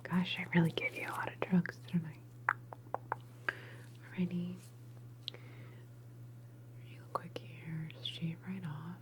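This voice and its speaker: A woman's voice: on the low side, little pitch movement, heavy background noise. A woman playing an unhinged, superpowered experimenter in a sci-fi ASMR roleplay, whispering breathily in a casually clinical, faintly menacing way. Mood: offhand, unhinged. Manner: unhurried, murmured, offhand and clinical.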